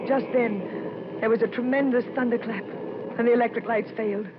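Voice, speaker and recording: A woman's voice: a little high, steady tone, brisk, close to an everyday voice. An actress playing an anxious, determined young woman, a murdered doctor's daughter, in dramatic, breathless old-time radio horror style. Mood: frightened narrating. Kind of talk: 1940s radio horror acting. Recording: noisy, muffled old radio recording.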